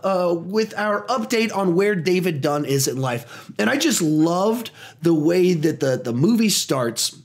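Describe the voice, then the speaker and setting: A man in his thirties reviewing a film in a small home studio, animated and a little hoarse from a cold. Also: fairly high pitch. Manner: animated, gestures a lot, talks in quick bursts. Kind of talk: energetic spoiler movie review.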